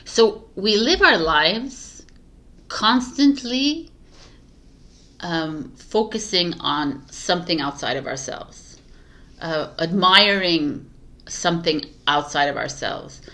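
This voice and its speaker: A woman's voice: lowish voice, expressive, slow, sharp tone. A woman tarot reader chatting casually to camera, laughing at herself, restarting often and losing her voice. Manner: giggly, scattered, restarts often. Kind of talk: casual spiritual talk to camera.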